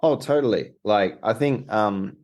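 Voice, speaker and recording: A man's voice: fairly low pitch, unhurried, a little mellow. A young man, a documentary co-director, answering interview questions in a rambling way with many restarts. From Australia.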